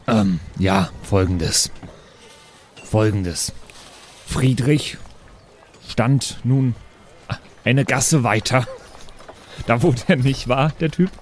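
A man talks as a player in an improvised tabletop game. His delivery is casual and hesitant, often repeating words, at an average pitch. Some noise sits behind him. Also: drawn-out words, long pauses. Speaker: tabletop role-playing player. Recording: clearly audible background noise.

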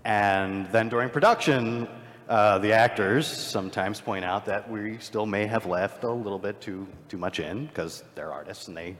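A man's voice: lowish voice, moderate pace, a bit bright. A man on a live convention panel, talking in a rambling, self-deprecating way with many fillers. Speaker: panelist, filmmaker. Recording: long hall reverb.

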